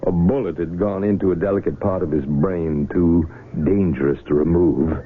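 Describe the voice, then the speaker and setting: An actor playing a doctor who explains the case in a calm, measured way. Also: male voice, deep voice, close to an everyday voice. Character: calm, matter-of-fact hospital doctor. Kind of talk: 1970s radio drama acting. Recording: tinny old radio broadcast with faint noise.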